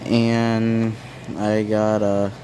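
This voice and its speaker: A man narrating a casual, unscripted vlog in a halting, repetitive way. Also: fairly low pitch, monotone, noisy background. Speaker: amateur vlogger filming weather radio alerts.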